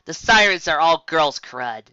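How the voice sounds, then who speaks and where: A woman's voice: sits low, animated, measured pace, bright, forceful tone, smooth, dry recording, highs cut off, heavily acted. A woman doing a drawling, sarcastic sea creature.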